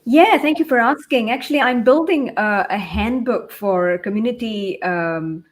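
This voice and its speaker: A woman's voice: a little high, a little slow. A woman from Singapore introducing herself as a guild leader, fluent but with frequent uh fillers. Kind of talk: self-introduction on a panel.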